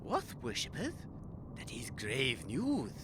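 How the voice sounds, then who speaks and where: A man's voice: mid-range voice, wildly swooping pitch, slow, noisy background. An actor playing an eccentric, self-important male techno-wizard in a comedy audio drama, with flowery, pompous manners. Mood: grandly courteous.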